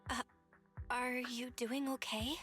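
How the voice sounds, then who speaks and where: A caring female companion from a video game, with a bright, fairly high voice. She is earnest and direct, over background music. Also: fairly even intonation, slow pace. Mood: concerned.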